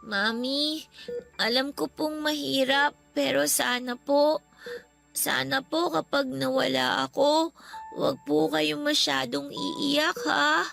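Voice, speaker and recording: A sick young girl in a radio drama, gentle and polite. She speaks very slowly in a high voice, pleading softly over background music. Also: steady tone, creaky, heavily acted. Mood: tender, pleading. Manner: soft-spoken, respectful, pleading. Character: gentle, ailing young daughter, polite and loving.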